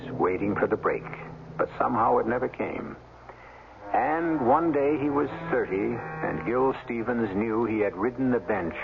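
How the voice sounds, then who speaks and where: A man's voice: lowish voice, gravelly. A man narrating as host of a 1970s radio drama, in a dramatic scripted style. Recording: tinny 1970s radio over music.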